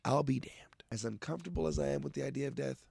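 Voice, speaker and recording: A young man in a loose, profane rant to his viewers, his voice raspy from the weather. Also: medium pitch, rapid-fire, a little mellow, dry recording. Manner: loose, profane, rambling with restarts. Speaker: vlogger. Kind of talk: casual rant to camera.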